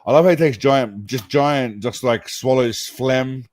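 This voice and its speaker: A man's voice: mid-range voice, measured pace, darkish tone. A man hosting a reaction show, delivering crude, sarcastic commentary full of profanity and mockery. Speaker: host reacting to clips.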